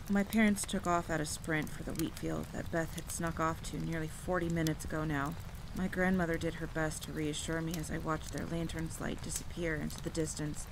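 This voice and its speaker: A woman performing a scripted first-person campfire tale in a dramatic, suspenseful storytelling manner. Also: sits low. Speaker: audio roleplay performer and storyteller. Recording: clear background noise of rain and thunder. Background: rain, rolling thunder and outdoor ambience.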